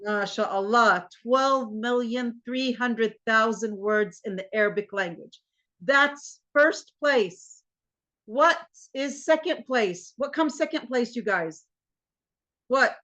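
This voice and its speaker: An American woman teaching a class in a lively, animated way and often calling her students "you guys". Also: a little high, takes it slowly, lo-fi sound. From United States. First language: English. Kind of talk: classroom lecture.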